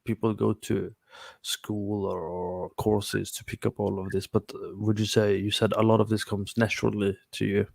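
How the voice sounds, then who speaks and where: Gravelly low-voiced man hosting. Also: level tone, no echo. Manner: halting, searching for words, "uh". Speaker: host. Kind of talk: interviewing and explaining to viewers.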